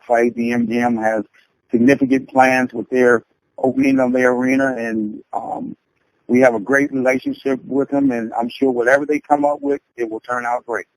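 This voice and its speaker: A man speaking as a spokesman on a press call, halting and measured, with a diplomatic way of putting things. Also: medium pitch, dry recording, poor audio. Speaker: promoter and spokesman for the boxer. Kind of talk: conference call remarks to press. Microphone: conference call line.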